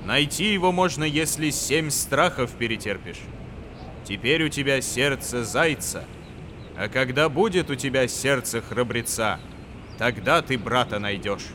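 A man voicing a wise old male eagle who speaks in a measured, authoritative way. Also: mid-range voice, animated, noisy background, natural voice. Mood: grave.

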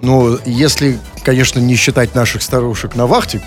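A man's voice: mid-range voice, steady tone, normal speed. A man hosting a casual comic radio show, bantering in a mock-serious, digressive way with teasing rhetorical questions. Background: music.

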